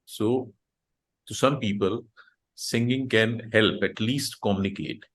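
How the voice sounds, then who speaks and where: A man's voice: lowish voice, slow, a bit bright, low-quality recording. A man with an Indian accent hosting an online chat, talking casually and hesitantly with many fillers and restarts. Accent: Indian English. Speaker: host of an online support group chat. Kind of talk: casual conversational interview.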